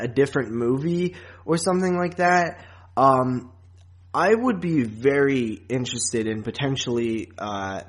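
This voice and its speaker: A man hosting a podcast in a casual, rambling, halting chat style with frequent asides. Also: mid-range voice. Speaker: podcast host.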